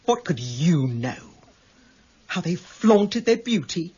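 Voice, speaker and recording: An actress playing a bitter, coldly controlled female kidnapper with a husky voice, venomous and defiant, in a radio drama. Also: fairly low pitch, sing-song, dark tone, highs cut off, heavily acted. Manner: venomous, deliberate. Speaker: actor in a radio crime drama. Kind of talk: 1990s British radio drama acting.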